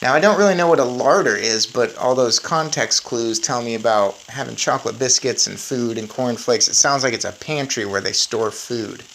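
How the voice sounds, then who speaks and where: A woman's voice: very deep voice, moderate pace, creaky, hardly any pauses, slight background noise, poor audio. A woman reading a children's book aloud expressively, with informal asides to listeners. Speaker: read-aloud storyteller. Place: indoors in an attic.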